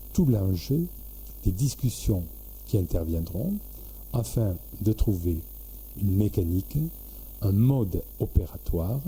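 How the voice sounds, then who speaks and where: Slow, low-voiced man explaining formally. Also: dark tone, slight background noise, poor audio. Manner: measured, halting delivery in short phrases, formal administrative phrasing. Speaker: local government official. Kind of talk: formal prepared explanation to local officials.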